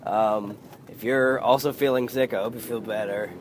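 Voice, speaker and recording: A man in his thirties hosting a casual solo podcast, hoarse and losing his voice, rambling and halting, swearing under his breath. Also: medium pitch, fairly even intonation, a little hiss or hum. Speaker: podcast host.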